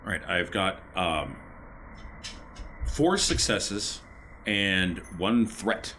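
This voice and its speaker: Man, tabletop player, very low voice, animated intonation, casual chat, background noise. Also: extremely slow.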